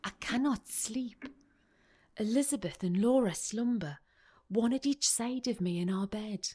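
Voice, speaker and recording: A woman playing an excitable, romantic young Victorian woman in a radio play monologue, telling her story in a confiding, expressive way. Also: a little high, a little slow, breathy edge. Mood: hushed, yearning.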